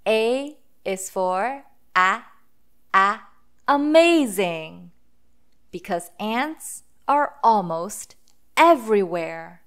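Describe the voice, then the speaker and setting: Slow-paced woman reading to children. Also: medium pitch, animated, a bit bright, smooth. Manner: deliberate, sounding out letters and words for children. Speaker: host of children's read-aloud phonics lesson. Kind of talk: children's picture book read-aloud with phonics teaching.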